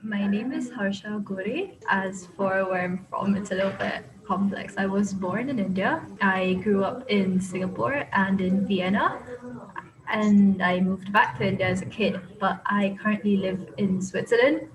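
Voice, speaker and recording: A woman's voice: medium pitch, unhurried, background noise. A woman in her twenties answering interview questions casually, talking with frequent "like" and "kind of" fillers. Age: 20s. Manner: chatty, frequent "like" and "kind of", laughs easily. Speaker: interviewee, architecture graduate.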